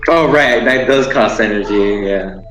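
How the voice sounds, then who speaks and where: A man's voice: lowish voice, unhurried, crowd noise. A man chatting casually as he learns a game, recorded over a dull, roomy voice chat.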